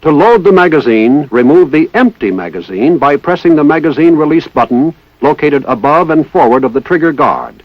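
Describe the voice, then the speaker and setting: A man's voice: a little high, sing-song, normal speed, hardly any pauses, no echo. A man with an American accent reading formal, methodical instructional narration in the style of a 1960s military training film. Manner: formal, precise, methodical enunciation. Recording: dull lo-fi 1960s film soundtrack.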